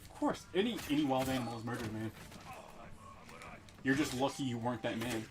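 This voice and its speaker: A man in an average register talks through a game on a live stream. His talk is blunt and full of swearing, and it flares into loud, excitable bursts. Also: mid-range voice, fairly quick, noisy background. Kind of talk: casual game stream chat.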